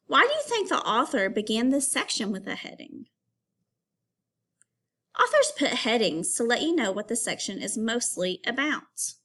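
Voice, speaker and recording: Female primary teacher for a kids' read-aloud: higher voice, very animated intonation, instructional, questioning the listener directly. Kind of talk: educational read-aloud for young children.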